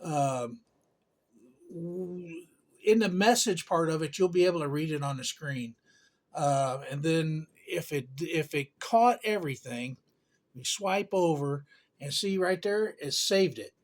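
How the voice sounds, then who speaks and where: A man's voice: a little high, a little slow. A man giving a casual hands-on tech tutorial in a folksy, rambling way with informal grammar. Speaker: tutorial presenter.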